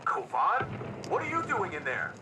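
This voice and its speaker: A high-pitched fire team leader. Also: male voice, huge pitch swings. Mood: commanding. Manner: commanding, brisk. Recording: distant, roomy, noisy battle audio.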